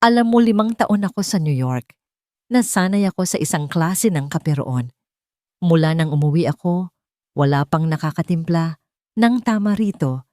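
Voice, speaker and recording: A woman voicing a gentle, wistful gentleman heir and employer in an audio story. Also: deep voice, expressive, normal speed, dry recording, clean studio sound. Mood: casual, tentative.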